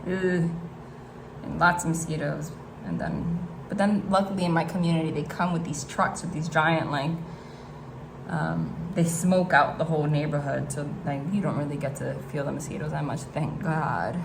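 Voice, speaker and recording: Chatty northeastern American woman, lowish voice. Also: normal speed, gravelly, background noise. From New Jersey / New York City area. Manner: chatty, rambling, frequent "like" and restarts. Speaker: tarot reader. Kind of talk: casual tarot reading to camera. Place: hot room at home.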